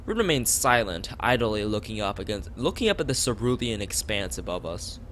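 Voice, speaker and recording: A man's voice: on the low side, very animated, moderate pace, fairly bright tone, a little hiss or hum. A young man reading a game's text aloud on a casual stream, rambling into asides and stumbling over lines. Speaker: streamer playing a visual novel.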